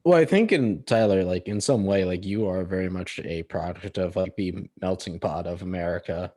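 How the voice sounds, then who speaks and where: Young male co-host with a low voice, relaxed and self-deprecating. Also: moderate pace. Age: young adult. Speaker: podcast co-host. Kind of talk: casual chat.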